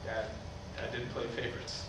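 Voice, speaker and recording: A man's voice: medium pitch, monotone, normal speed, lightly acted. A man acting in a staged play reading as a strict, devout father and brother who is easily roused to anger. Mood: guarded, earnest. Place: large room at a staged reading. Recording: very echoey distant room recording, faint noise.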